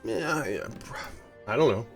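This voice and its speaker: A man's voice: mid-range voice, lively intonation, slow, husky, faint music behind. A man with a head cold giving rambling, casual commentary while live-streaming a space game, often correcting himself.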